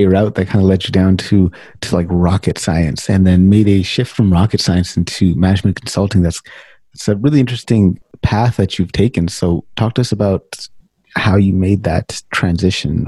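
A man's voice: sits low, fairly even intonation, normal speed, warm tone, breathy edge, no echo. A man hosting a podcast interview, reading out a formal guest introduction and asking friendly questions. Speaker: podcast host.

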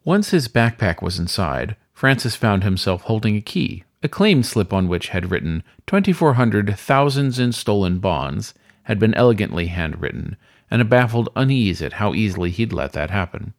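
Male narrator, spooky fiction reading, dramatic storytelling, lowish pitch, lively intonation, vocal fry. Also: normal speed.

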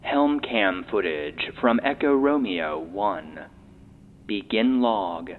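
A man playing an archive database that reads incident logs in an audio drama, formal, measured and impassive. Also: lowish voice, lively intonation, phone-quality sound. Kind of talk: scripted narration in an audio drama.

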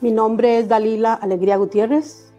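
Grieving mother, raw and insistent, mellow and fairly high, speaking off the cuff into a handheld mic. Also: fairly even intonation, brisk, faint music behind. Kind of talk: unscripted public speech. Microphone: handheld microphone.

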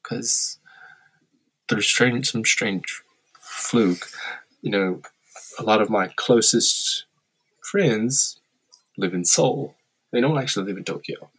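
A man with a low, slightly husky voice talks in a relaxed interview. He is reflective and wry, and turns blunt now and then. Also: slow, pauses often, rough recording. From Korea. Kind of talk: candid conversational interview. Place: lives in Tokyo.

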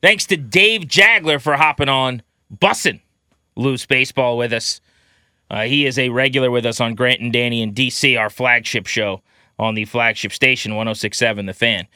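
American sports radio guy, bright forceful voice, dry studio sound, hosting and asking questions. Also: medium pitch, moderate pace, clear, resonant tone, no room sound. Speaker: sports radio show host. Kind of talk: lively sports talk interviewing and commentary.

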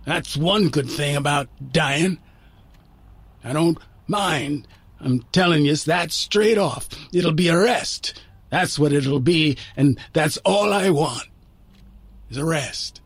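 A man voicing a dying old man, rough and pained, who speaks bluntly and haltingly in a told story. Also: fairly high pitch, no room sound, pitched above the performer's own voice, exaggerated voice. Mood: blunt, resigned. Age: older. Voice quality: rough, pained, rasping, coughing.

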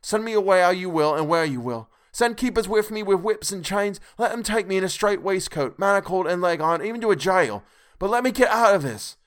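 A man's voice: sits high, pitched above the performer's own voice. A man voicing a frantic male asylum patient who pleads desperately to be released. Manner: desperate, pleading, rising to shrieks. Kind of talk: audiobook character voice.

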